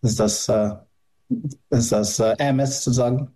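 A man interviewing, asking questions slowly while groping for words. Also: mid-range voice, fairly even intonation, dark tone, slightly rough. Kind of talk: conversational interview.

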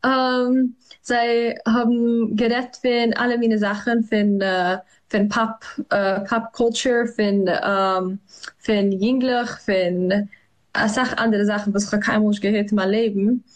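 A young woman, answering interview questions in a halting way, with many fillers and restarts. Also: medium pitch, flat delivery. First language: Yiddish. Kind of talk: hesitant conversational interview.

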